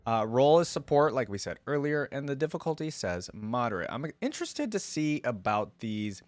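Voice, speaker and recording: A man hosting a reaction video, giving casual, informal commentary and thinking out loud with enthusiasm. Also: medium pitch, very animated, moderate pace, dry recording. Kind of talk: casual reaction commentary with some reading aloud.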